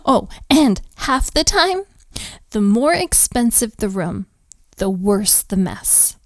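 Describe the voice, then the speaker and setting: An overworked, sharp-tongued hotel maid played by a woman in an intimate romantic audio drama. Her voice sits a little high and she speaks slowly, with exasperated sighs and defensive sarcasm. The sound is dry, with no room around it. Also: very animated, no room sound, exaggerated voice. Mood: bitter, scornful. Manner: exasperated, sighing, sarcastic, conversational.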